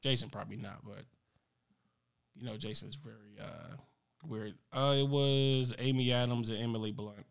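A man's voice: medium pitch, normal speed, creaky, clear, resonant tone. A man hosting a casual podcast chat, reading through film titles and laughing often. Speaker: podcast host.

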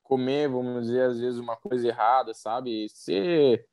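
A young man with a southern Brazilian accent chats in an easygoing, unhurried way, stretching his fillers as he thinks aloud. His voice sits in an ordinary middle register. The recording is dry and close, with no room sound around him. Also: medium pitch, no room sound. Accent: southern Brazilian Portuguese. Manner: relaxed, easygoing, drawn-out fillers. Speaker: professional athlete guest. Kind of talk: casual podcast conversation.

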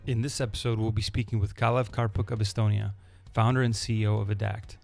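A man hosting an interview podcast, introducing a guest and asking relaxed, conversational questions. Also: lowish voice, fast, vocal fry, faint music behind. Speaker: podcast host.

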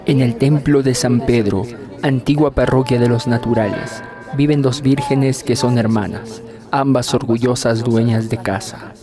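A man's voice: medium pitch, fairly even intonation, a little slow. A man from Cusco with a Peruvian Andean accent, presenting a scripted segment as a storyteller. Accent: Peruvian Andean Spanish, Cusco. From Cusco, Peru. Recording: loud music over the voice. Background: loud music.

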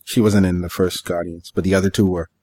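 A male podcast panelist with a deep voice. He speaks in a casual, conversational way, stopping and restarting as he searches for the right word. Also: little pitch movement, quick pace, mellow, no room sound. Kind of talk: casual chat.

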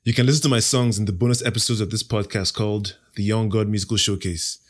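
A young Nigerian man, Igbo his mother tongue, talks with a low-pitched voice and a cocky, playful assurance. He answers quick interview questions as if savoring his own lines. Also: steady tone. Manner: confident, playful, self-assured. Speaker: podcast host, interview guest.

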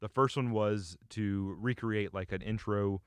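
Guy with a low smooth voice, dry close sound, jokey and easygoing. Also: steady tone, a little slow, no room sound. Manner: joking, self-deprecating. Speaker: video producer. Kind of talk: casual group chat.

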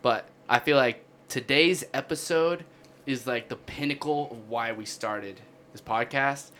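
A young man with a bright, forceful voice hosting a laid-back podcast chat. He talks loosely and chattily over a faint hum of room ambience. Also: medium pitch, unhurried. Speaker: podcast host.

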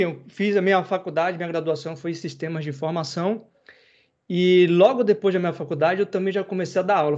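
A man talks in a relaxed, rambling way, full of slang, the way a podcast host chats. His voice sits a little high. The recording sounds dull and lo-fi. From Bahia, Brazil. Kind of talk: casual chat.

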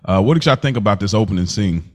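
Deep-voiced man hosting a casual podcast. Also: expressive, fast talker, a little mellow. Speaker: podcast host.

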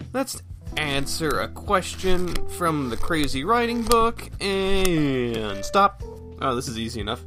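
A man's voice: fairly high pitch, sharp tone. A man talking casually on his own as a podcast host, thinking aloud in a folksy, self-effacing way. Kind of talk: casual solo rambling chat.

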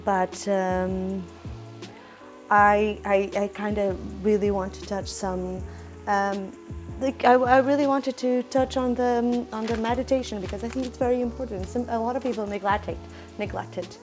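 A young woman with a self-described squeaky voice chatting casually in her home kitchen while cooking, rambling with fillers and restarts and trying to talk slowly. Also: steady tone, a little mellow, faint music behind. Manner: deliberately talking slowly. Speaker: cooking vlogger.

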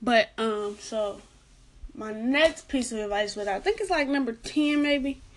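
A soft-spoken young woman with a light, girlish voice that sits a little high. She gives casual vlog advice in a chatty way and repeats her warnings for emphasis. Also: measured pace. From Arkansas, United States. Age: late teens. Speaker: vlogger giving school advice.

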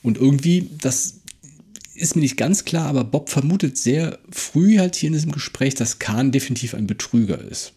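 A man's voice: medium pitch, lively intonation, normal speed, warm tone, slightly rough. A man co-hosting a casual discussion, retelling and explaining a story in a conversational way. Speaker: podcast co-host.

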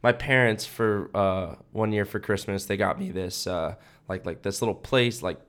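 A man's voice: lowish voice, moderate pace, fairly bright tone. A man in his twenties chatting casually as a podcast co-host, rambling and repeating himself with lots of filler words. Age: 20s. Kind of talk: casual chat about films.

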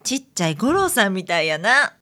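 A woman's voice: medium pitch, sing-song, brisk, bright, forceful tone. A woman playing a friendly, teasing detective's assistant with a Kansai accent in a radio drama. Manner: chatty, teasing, encouraging.

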